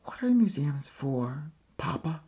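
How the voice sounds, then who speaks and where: An earnest little girl from a storybook, acted out by a man, speaks in a lifted voice. She sounds curious and quietly troubled, on a slightly dull recording. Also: on the high side, big pitch swings, takes it slowly, voice pushed up, full character voice. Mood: puzzled.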